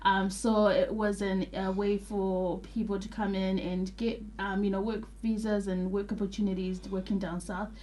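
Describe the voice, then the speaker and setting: A young woman from Zimbabwe, a radio guest, telling her story in a warm, thoughtful way with pauses and fillers. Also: medium pitch, flat delivery, a little hiss or hum. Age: young adult. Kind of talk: interview answers.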